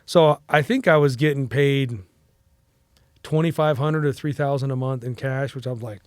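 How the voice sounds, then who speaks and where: A man's voice: mid-range voice, fairly even intonation, moderate pace, no room sound. A Mexican-American man in his forties, telling a long casual story as a podcast guest, rambling with fillers and restarts. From United States.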